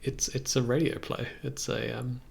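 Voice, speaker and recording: A man's voice: mid-range voice, little pitch movement, normal speed. A man in his thirties talking casually and hesitantly, thinking aloud as he works on a music production livestream. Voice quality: gravelly, creaky. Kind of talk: casual livestream commentary while working. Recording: faint noise, keyboard and mouse clicks.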